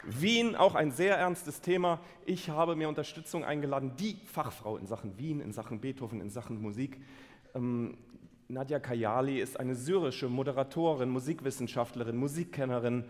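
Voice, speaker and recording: A male conductor hosts a carnival concert from the stage in a jovial, mock-serious way. His mid-pitched voice rings out in a large reverberant hall, with the audience faintly audible. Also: normal speed, some chatter behind. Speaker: conductor and co-presenter. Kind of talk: comedic carnival stage banter.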